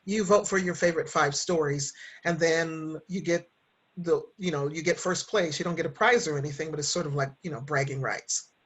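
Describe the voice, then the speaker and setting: Husky-voiced woman chatting casually. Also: low voice, normal speed. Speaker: podcast guest and co-host. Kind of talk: casual interview chat.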